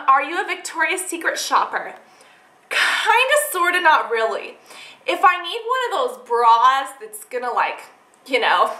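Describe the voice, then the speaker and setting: A young woman with a high, very bright voice talks casually as a vlogger answering questions. She rambles in an animated, chatty way. The room around her gives the recording a clear echo. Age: young adult. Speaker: beauty and lifestyle vlogger. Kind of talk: casual chat answering tag questions to camera. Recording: echoey room.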